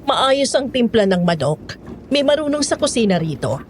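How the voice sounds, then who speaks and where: Woman acting a blunt, high-voiced fifty-year-old cook. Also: big pitch swings, normal speed, slight background noise. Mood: satisfied. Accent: Filipino. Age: 50s. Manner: complaining, blunt.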